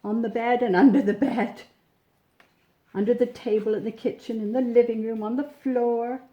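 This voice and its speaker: A woman reading a storybook expressively to young children, gently chatting about the pictures as she goes. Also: a little high, moderate pace, lo-fi sound. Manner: gentle, animated, pointing out pictures with questions to the listener. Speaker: storybook reader.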